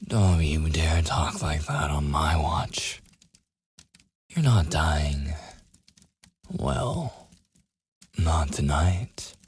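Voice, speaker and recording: A man playing a gruff, protective werewolf with a secretly tender side in a boyfriend ASMR roleplay, his husky, gravelly voice often dropping toward a whisper as he gives grudging commands. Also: extremely low pitch, animated, heavily acted. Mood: protective, soothing. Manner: slow, grudging, possessive, commanding. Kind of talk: boyfriend ASMR roleplay, intimate scripted acting.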